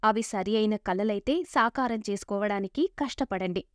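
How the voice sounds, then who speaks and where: A woman's voice: mid-range voice, moderate pace, no room sound, studio quality. A woman narrating a scripted moral story as a storyteller. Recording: dull lo-fi sound.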